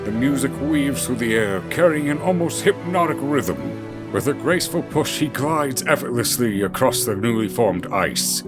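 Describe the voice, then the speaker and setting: Man, story narrator, expressive pitch movement, scripted delivery, music underneath.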